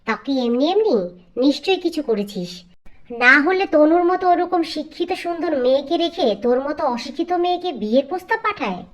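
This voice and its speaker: A woman's voice: high-pitched, animated, a little fast. A woman voicing a scheming, spiteful middle-aged village stepmother in a dramatic cartoon fairy tale. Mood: angry, accusing. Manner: scolding, menacing, falsely sweet when wheedling.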